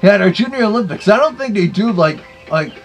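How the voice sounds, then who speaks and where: A man's voice: high voice, expressive, fast talker, over music. A man giving casual review commentary in a small bedroom, picked up by a camera mic.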